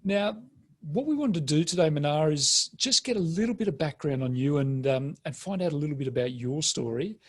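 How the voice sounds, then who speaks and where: A man's voice: fairly high pitch, lively intonation, brisk, breathy edge. A man hosting a conversational interview and asking questions.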